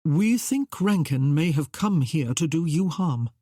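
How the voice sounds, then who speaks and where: Woman as a composed, serious gentleman, husky. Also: deep voice, expressive, measured pace, no room sound, studio quality.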